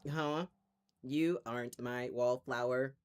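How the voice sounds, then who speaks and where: A man's voice: medium pitch, fairly even intonation, slow pace, clear, resonant tone, soft background music, close to an everyday voice. A man voicing a possessive, cocky young playboy lover with a menacing edge, in a romantic audio roleplay. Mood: confused, smug. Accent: Southern US. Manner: domineering, profane.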